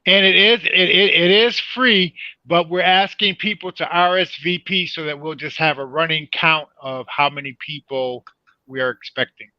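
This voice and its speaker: A man appearing as a guest playwright, announcing event details in a careful, deliberate way. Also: on the high side, steady tone, no room sound, dull audio.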